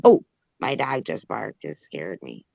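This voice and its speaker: A man's voice: on the low side, sing-song, a little slow, creaky, dark tone, no room sound, lo-fi sound. A man in casual chat who argues each point and spells out letters to prove it.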